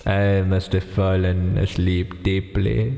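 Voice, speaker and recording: A man reading a visual novel aloud for a let's play, slow and dramatic, stopping to joke with a friend. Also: low voice, level tone, gravelly, mellow, in a large hall. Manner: reads slowly with pauses, breaks off to joke and laugh.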